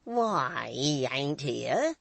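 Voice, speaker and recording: Reader doing a slow, coaxing old woman. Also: mid-range voice, big pitch swings, vocal fry, a bit bright, no echo, full character voice. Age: older. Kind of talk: audiobook character voice.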